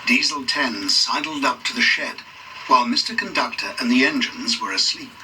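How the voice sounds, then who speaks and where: A man reading a scripted children's story as narrator, in an expressive, dramatic read-along style. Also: mid-range voice, moderate pace, distant mic, noisy background.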